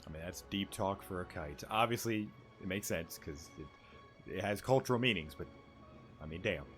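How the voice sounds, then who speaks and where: A man's voice: sits low, expressive, normal speed, fairly bright tone. A young man giving casual, joking, offhand commentary as he plays, with game music behind him. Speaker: gaming streamer. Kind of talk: casual reaction commentary over gameplay.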